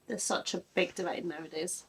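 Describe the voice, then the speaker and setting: Woman, reaction co-host, earnest, opinionated, heavy vocal fry, low voice. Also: fairly even intonation, a little fast. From Germany. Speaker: co-host of a music reaction channel. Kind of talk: casual reaction discussion.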